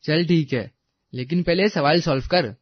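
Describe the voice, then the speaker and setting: A man's voice: a little high, moderate pace, no echo, highs cut off, close to an everyday voice. A man playing a polite, earnest young college student in a story drama. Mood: modest, friendly. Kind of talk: acted Hindi audio story dialogue.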